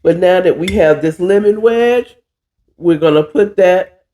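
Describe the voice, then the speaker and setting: A hoarse, stuffy-nosed man hosting a casual chat while cooking, drawling and calling his listeners "child". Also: high-pitched, measured pace, mellow. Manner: chatty, drawling, says "child" a lot. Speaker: podcast host.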